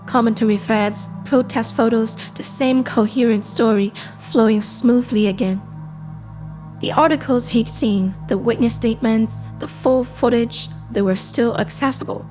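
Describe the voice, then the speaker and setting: A woman playing a calm, impassive AI assistant, narrating a scripted audio drama in a measured, detached, deliberate way. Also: on the high side, close to an everyday voice. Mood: somber, measured. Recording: cinematic music behind. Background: cinematic score.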